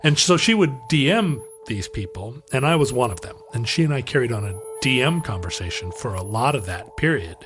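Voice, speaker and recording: Guy cohosting a chat podcast, rambly storyteller, medium pitch, faint music under it. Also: big pitch swings, a little fast, few pauses. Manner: rambling, self-correcting anecdotes. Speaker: podcast co-host.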